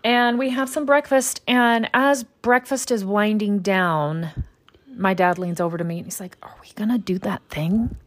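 A woman from Utah telling a long family story in casual conversation, wry and deadpan, with many fillers. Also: mid-range voice, moderate pace.

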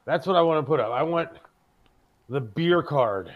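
A man's voice: on the high side, expressive, moderate pace, muffled recording. A middle-aged man hosting a talk show, chatting and bantering informally with a salty tongue. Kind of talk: casual chat and banter.

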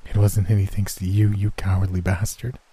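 A man voicing a bitter dead wife in a horror story, soft-spoken, deliberate and reproachful. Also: deep voice, flat delivery, slightly rough. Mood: bitter, accusing. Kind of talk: horror story narration, character voice.

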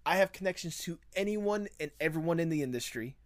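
A man's voice: fairly high pitch, animated, fast talker. A man hosting an interview in a casual, encouraging and complimentary way. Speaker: interview host. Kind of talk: casual chat.

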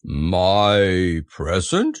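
A man voicing a gloomy old male donkey in a children's story, mournful and ponderous, with drawn-out words. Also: sits low, wildly swooping pitch, smooth, no room sound, studio quality, pitched below the performer's own voice, exaggerated voice. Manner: ponderous, lugubrious, drawn-out delivery. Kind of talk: children's storybook character reading.

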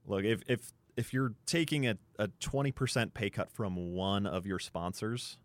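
Low-voiced man talking sports. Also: normal speed, dry recording. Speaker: sports talk panelist. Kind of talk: unscripted sports discussion.

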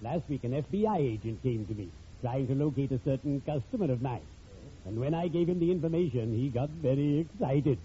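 An actor playing a genial, macabre undertaker in a 1940s radio comedy, speaking with pompous formality and drawn-out theatrical relish. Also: male voice, mid-range voice, animated, dark tone, natural voice. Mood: genial, ghoulish. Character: ghoulishly genial, pompous male undertaker, fond of puns. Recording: muffled, noisy vintage radio recording.

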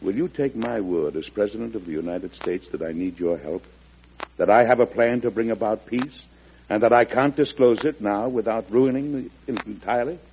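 Actor as solemn President in a reverberant old radio play. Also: male voice, on the low side, close to an everyday voice. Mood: earnest, firm. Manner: grave, oratorical, measured. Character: solemn, idealistic male American President pleading for peace. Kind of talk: old-time radio drama acting. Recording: muffled old radio recording, reverberant, humming noise.

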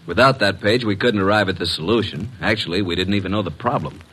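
Low-voiced wisecracking detective's sidekick. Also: male voice, quick pace, a bit bright, husky. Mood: narrating, dry. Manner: impatient, sarcastic, breezy wisecracks. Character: brisk, wisecracking, flirtatious male detective's assistant. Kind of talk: 1940s-50s American radio drama acting. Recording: noisy old radio recording.

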